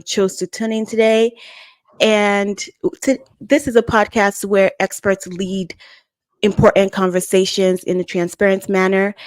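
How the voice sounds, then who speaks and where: Young woman, podcast host, upbeat, smooth resonant voice, dry close sound. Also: mid-range voice, no room sound. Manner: upbeat, enthusiastic. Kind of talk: podcast hosting. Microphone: video call.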